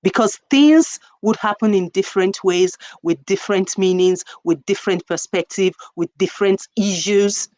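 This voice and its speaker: A woman with a Nigerian accent hosting a folktale talk, speaking reflectively and deliberately, repeating questions and phrases for emphasis. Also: mid-range voice, darkish tone. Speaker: storyteller host.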